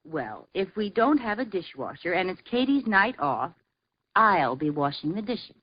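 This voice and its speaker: Brief: bubbly teasing sitcom wife played by an actress, lively swooping pitch, old hissy radio tape. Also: female voice, lo-fi sound, close to an everyday voice. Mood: coy. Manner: teasing, bubbly, quick comic timing. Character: witty playful young housewife. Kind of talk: 1940s radio comedy acting.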